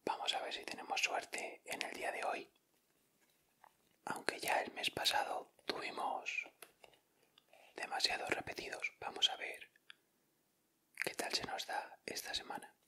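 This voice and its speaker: Man, Castilian Spanish accent, bright crisp whisper, ASMR host, informal. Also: a little slow, pauses often. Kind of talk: ASMR, whispered unboxing commentary.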